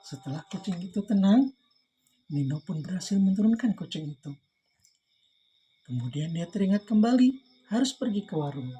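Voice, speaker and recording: A woman's voice: mid-range voice, lively intonation, normal speed, long pauses, warm tone, breathy edge. A woman reading a children's story aloud expressively, engaging young listeners.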